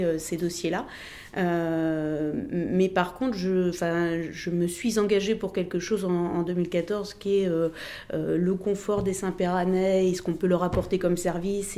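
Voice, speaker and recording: A woman with a fairly low, mellow voice answers an interview as a local elected official. She talks in a reflective, personal way about her public work.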